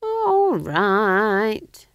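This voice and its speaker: A woman's voice: mid-range voice, sing-song, takes it slowly, a bit bright, no room sound, studio quality, voice pushed up, exaggerated voice. A woman voicing a good-humoured, plain-spoken old witch in an audiobook, with a homely, colloquial manner. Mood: relenting. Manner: folksy, colloquial. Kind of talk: audiobook character voice.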